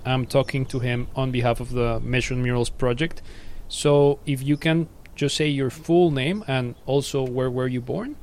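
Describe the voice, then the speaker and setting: A man hosting an oral history, reading a formal introduction and then asking questions in a measured way. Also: mid-range voice, steady tone, darkish tone. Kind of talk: read introduction, then interview.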